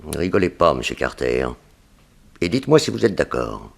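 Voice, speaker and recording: An actor playing a vengeful, scheming gangster in a 1960s French radio crime drama, speaking in a deliberate, insinuating way. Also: male voice, extremely low pitch, very animated, gravelly, full character voice. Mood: insinuating, firm.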